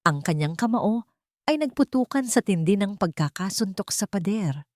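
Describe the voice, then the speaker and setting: A woman narrating a dramatic audio story in an emotive, expressive way. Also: medium pitch, normal speed, no echo, studio quality.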